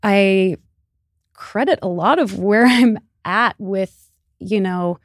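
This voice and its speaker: A woman's voice: medium pitch, slow, no echo, studio quality. A woman from Iran, Persian her first language, chatting candidly as a podcast guest, often laughing and using fillers like "you know" and "like". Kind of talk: casual interview chat.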